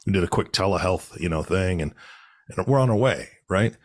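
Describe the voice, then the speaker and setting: A man in his late forties co-hosting a podcast, upbeat and bantering, his voice low and worn from illness. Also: lively intonation, brisk, no room sound, clean studio sound. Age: 40s. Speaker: podcast co-host. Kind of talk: casual podcast chat.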